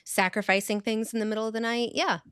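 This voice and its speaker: Woman, podcast host, smooth clear voice, dry close sound, casual. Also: fairly high pitch, fairly even intonation, fast, fairly bright tone, dry recording. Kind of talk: casual chat.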